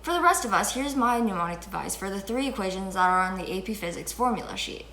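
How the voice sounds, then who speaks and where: An upbeat teenage girl walks through a physics lesson with chatty, self-deprecating asides. Her voice is bright and sharp. She is recorded on a fixed camera in a small room that leaves a clear echo. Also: mid-range voice, expressive, fast talker, slight background noise. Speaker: host. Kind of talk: educational video explanation. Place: indoor room with window blinds. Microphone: fixed camera at chest-up framing.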